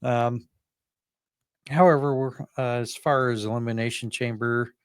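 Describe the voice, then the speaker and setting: A man's voice: mid-range voice, fairly even intonation, a little slow, smooth, no room sound, clean studio sound. A man co-hosting a podcast in casual sports talk, rambling a little as he thinks aloud. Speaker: podcast co-host. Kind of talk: casual sports prediction chat.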